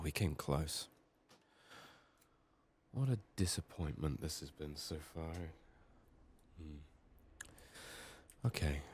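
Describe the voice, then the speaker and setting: A soft-spoken man with a sick, strained voice, muttering downbeat, sweary ASMR commentary as he plays a video game. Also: very deep voice, a little hiss or hum.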